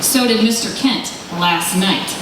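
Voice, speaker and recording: Sharp-tongued newswoman female actor, sarcastic and emphatic, vintage radio style, recorded in a noisy, reverberant hall. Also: mid-range voice, measured pace, close to an everyday voice. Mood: sarcastic, argumentative. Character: sharp-tongued, stubborn female newspaper reporter. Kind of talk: old-time radio drama acting. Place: live stage recreation in a large hall. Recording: echoey hall from far off, noisy.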